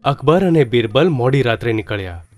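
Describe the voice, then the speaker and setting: A man narrating a children's story in a steady, plain manner. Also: medium pitch, fairly quick, no room sound, faint music behind.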